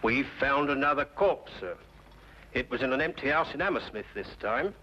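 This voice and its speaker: A man's voice: medium pitch, expressive, normal speed, natural voice. An actor playing a respectful, dutiful male police sergeant reporting formally in an old-time radio drama. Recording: dull old radio recording, faint noise.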